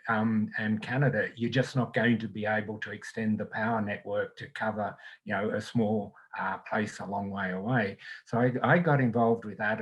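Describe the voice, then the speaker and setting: A man's voice: lowish voice, low-quality recording. An older man with an Australian accent, speaking thoughtfully as a panelist on a video call from a small home room.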